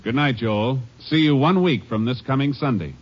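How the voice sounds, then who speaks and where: A man's voice: mid-range voice, normal speed. A man announcing in formal, polished, scripted mid-century network radio style. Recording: muffled old broadcast, loud music.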